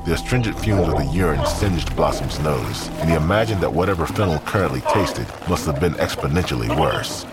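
A man's voice: a bass voice, expressive, a little fast, hardly any pauses. A man narrating a scripted audio drama. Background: music.

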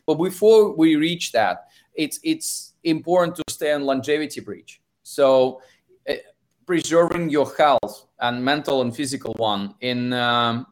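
A man with a Russian accent answers questions in an interview. He talks in a halting way, stops and restarts phrases and fills pauses with hesitant sounds. His pitch sits in the middle for a man. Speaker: investor and author.

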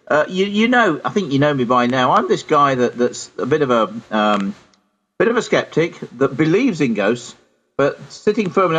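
British man, slightly high voice, chummy and teasing, casual radio chat, co-host. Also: a bit bright, highs cut off. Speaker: paranormal radio show co-host and author.

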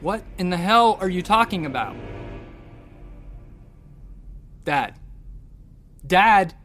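An actor playing a bitter, rambling, sarcastic space station commander who stammers and swears, in a science fiction audio drama. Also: male voice, high voice, animated, a little slow, a bit bright, soft background music. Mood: angry, confused.

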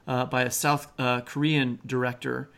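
Male co-host with vocal fry, casual and halting, thinking aloud, mid-pitched. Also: little pitch movement, fairly bright tone. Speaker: podcast co-host. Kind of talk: casual chat.

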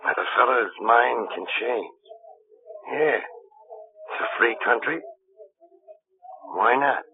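A man's voice: mid-range voice, slow, long pauses, slight background noise. Cocky American tough guy on tinny old radio. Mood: smug, flirtatious. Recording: tinny band-limited old radio recording.

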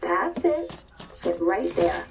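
A bossy robot nurse. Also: female voice, lowish voice, very animated, slow pace, creaky, noisy background, full character voice. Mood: brisk. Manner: bossy, patient, matter-of-fact. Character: brisk female robot caretaker nurse. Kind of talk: audio drama acting.

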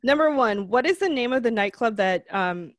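A woman's voice: a little high, lively intonation, moderate pace, no room sound, clean studio sound. A woman hosting a casual podcast, upbeat and running a trivia quiz with her guests. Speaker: podcast host.

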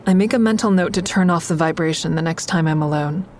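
Actress playing a low-voiced, guarded, wry woman narrator. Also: fast talker, darkish tone, a little hiss or hum, lightly acted. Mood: measured, guarded. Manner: confiding, dry, introspective. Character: guarded, wry young woman narrating her troubled romance. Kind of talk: intimate first-person audio drama narration and dialogue.

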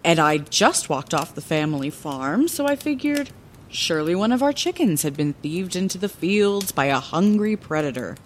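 A woman's voice: on the low side, lively intonation, moderate pace, slight background noise, natural voice. An actress playing a fond, playful middle-aged woman lighthouse keeper, telling her past as a theatrical bedtime story in a fantasy audio drama. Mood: wistful, vivid. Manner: theatrical, wordy, chatty asides.

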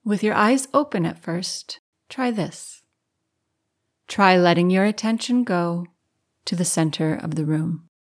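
A woman narrating a guided meditation as host, calm and measured, giving gentle step-by-step instruction. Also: on the low side. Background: music.

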